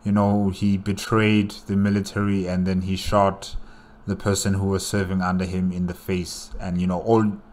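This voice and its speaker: A man's voice: deep voice, flat delivery, moderate pace, darkish tone. A man giving casual, rambling reaction commentary as a video host, hesitating as he thinks aloud.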